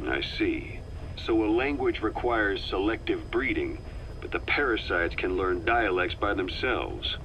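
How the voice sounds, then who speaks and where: A man's voice: lowish voice, expressive, a little slow, a bit bright. An actor playing a practical, skeptical middle-aged military man in a video game, plain-spoken, probing and controlled. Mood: probing, curious. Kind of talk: dramatic video game dialogue. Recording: faintly noisy cassette tape recording.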